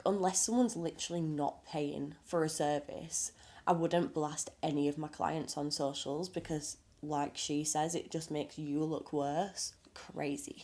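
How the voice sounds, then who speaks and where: A woman's voice: sits low, darkish tone. A woman, a makeup artist, chatting in a casual reaction vlog, blunt and outspoken, sounding nasal from a cold.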